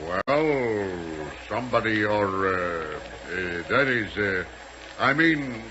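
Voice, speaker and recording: An American actor playing a dim, reluctant former air pirate who stammers through rough grammar in a 1930s radio serial. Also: male voice, lowish voice, animated, takes it slowly. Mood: slow-witted, unsure. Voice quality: gravelly. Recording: muffled, noisy 1930s radio recording.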